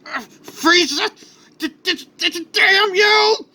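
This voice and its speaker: Guy doing anime hero dad, anguished n vengeful, super forceful high voice, strained. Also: very slow, full character voice. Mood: enraged anguish. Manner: dramatic, strained declarations. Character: heroic male warrior father, anguished and vengeful.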